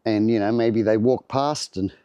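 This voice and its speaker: An older Australian man talks to camera at his home, a little low and soft in tone. His voice is still slightly off from the flu, and he rambles easily with plenty of ums and restarts. The sound is close and dry. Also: normal speed, smooth, no room sound, lo-fi sound. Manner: rambling, relaxed, many fillers and restarts. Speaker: vlogger. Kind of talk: casual chat to camera.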